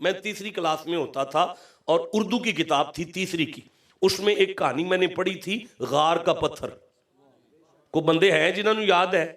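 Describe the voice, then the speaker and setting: High-pitched Punjabi male preacher. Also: moderate pace, a bit bright, far from the mic. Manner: exhortative, repeats phrases for emphasis and questions listeners directly. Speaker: Islamic preacher. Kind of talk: religious sermon to a congregation, storytelling with calls for audience response.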